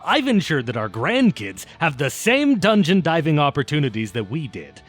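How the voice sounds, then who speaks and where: A man's voice: fairly high pitch, very animated, moderate pace. A man narrating a scripted but conversational video essay in a wry, casual, emphatic way with some swearing. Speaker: video essayist and game reviewer.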